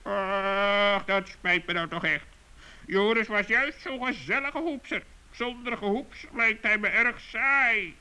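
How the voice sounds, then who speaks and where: Shrill, sharp old bird. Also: male voice, slow, clean tone, full character voice, poor audio. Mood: rueful. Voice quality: sharp, piercing. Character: wise old male bird, clever, opinionated. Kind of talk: children's radio play acting.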